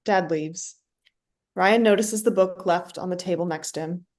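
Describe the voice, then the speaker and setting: A woman's voice: lowish voice, normal speed, darkish tone. A woman reading stage directions as scripted narration for a play.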